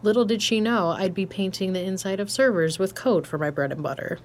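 A woman's voice: mid-range voice, fairly quick, darkish tone, slight background noise, lightly acted. A woman playing a laid-back, blunt young hardware tech in an audio drama, casual and slangy with dry humor. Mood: reflective, easygoing. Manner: casual, slangy, dryly joking. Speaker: voice actor. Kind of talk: naturalistic audio drama dialogue.

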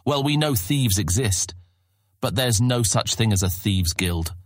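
A man's voice: lowish voice, expressive, measured pace, no room sound, clean studio sound, pitched above the performer's own voice. A man playing a polite, puzzled young newcomer in a fantasy audiobook, hesitant and apologetic in manner. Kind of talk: fantasy audiobook character acting.

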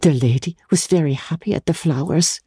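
A woman's voice: sits low, a little fast, dark tone, slightly rough, dry recording, studio quality. A woman voicing a simple, kindly Italian maidservant in a storybook reading, plain and good-natured. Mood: pleased.

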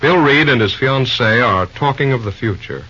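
A man's voice: mid-range voice, expressive, moderate pace, sharp tone, breathy edge. A man narrating an old-time radio serial as its announcer, formal and grandiloquent in his storytelling. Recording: dull vintage radio recording with music. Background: music.

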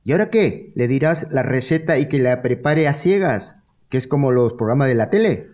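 A man's voice: mid-range voice, expressive, normal speed, a little mellow, lightly acted. An actor playing a sardonic, food-loving young man who wryly teases his friends in audio comedy. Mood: sarcastic. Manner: wry, teasing. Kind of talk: Spanish-language audio fiction comedy acting.

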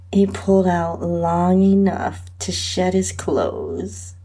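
A woman host reading a first-person erotic story in an intimate, sensual, drawn-out and breathy way. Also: lowish voice. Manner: breathy, sensual, drawn-out delivery with soft moans. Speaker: podcast host and narrator reading own story.

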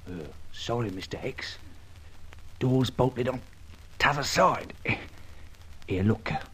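An actor playing a humble, helpful working-class sidekick in an old radio serial, colloquial and deferential. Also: male voice, mid-range voice, expressive, slow pace, bright, forceful tone, husky, heavily acted. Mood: eager, hushed.

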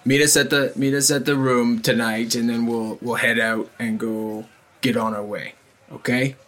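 A man voicing a gruff, pushy male cultist thug who talks in rough street slang. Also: mid-range voice, flat delivery, moderate pace, fairly bright tone, close to an everyday voice. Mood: pushy, brusque.

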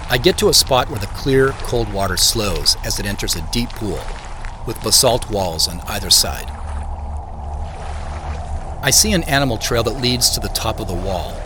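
A man's voice: on the low side, noisy background, natural voice. An actor playing a reflective man who narrates his own story in a scripted first-person style. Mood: measured, reflective. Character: reflective man recounting his dreams and his search. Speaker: narrator and main character of an audio drama. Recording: music playing behind the voice. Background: music.

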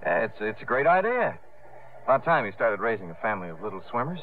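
An actor playing a proud, evasive promoter in a 1950s radio drama, stammering with false breeziness. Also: male voice, lowish voice, sing-song, fast. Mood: defensive, bluffing. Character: proud, evasive drifter and down-on-luck male promoter. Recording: noisy, tinny 1950s radio recording.

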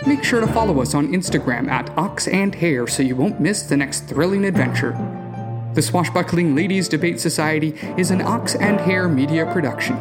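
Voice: mid-range voice, expressive, moderate pace. An announcer reading scripted end credits for an audio drama. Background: loud guitar music.

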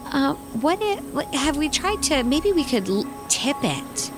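A woman's voice: high voice, very animated, measured pace. A woman playing an earnest, nurturing hippie mom herbalist in a comedic audio drama, gushing and soothing. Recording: music behind the voice.

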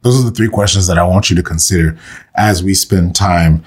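A man leading a slow, meditative scripture reading and prayer for listeners, saying he is under the weather and losing his voice. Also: deep voice, breathy edge. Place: recorded for online listeners.

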